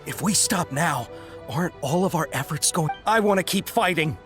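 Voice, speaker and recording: A slightly low female voice as a zealous game soldier, loyal and deferential. Her delivery is earnest and swings widely in pitch, over clearly audible music. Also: animated, normal speed. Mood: pleading, fervent. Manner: earnest, emotional, hesitant before superior. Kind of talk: English video game voice acting. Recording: clear music bed under the voice.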